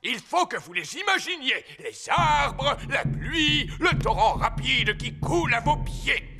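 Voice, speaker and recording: A boisterous old grandpa rabbit from a cartoon, bragging like a great adventurer. The voice is very high and piercingly bright, swinging in lively exclamations. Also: male voice, a little slow, soft background music, heavily acted. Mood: boastful, dramatic. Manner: booming, theatrical, exclaiming. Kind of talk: French cartoon dub acting.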